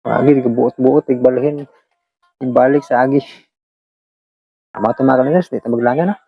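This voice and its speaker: Dry-sounding Visayan Filipino vlogger chatting haltingly. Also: medium pitch, gravelly, slightly rough, no echo, lo-fi sound, soft background music. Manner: halting, repetitive, apologetic. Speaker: vlog host who shares radio dramas. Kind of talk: casual rambling chat to listeners.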